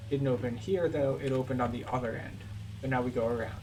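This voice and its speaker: A man's voice: medium pitch, fairly even intonation, fast talker, slight background noise. A man giving casual, matter-of-fact playthrough commentary as a tour guide, explaining things as he goes. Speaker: video game tour guide. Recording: distant mic, loud game music.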